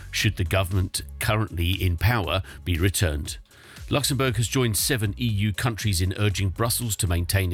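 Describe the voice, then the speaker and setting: A man with a low, slightly husky voice reads the morning radio news bulletin. His delivery is measured and even, as he works through headlines, sport and weather. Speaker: radio newsreader.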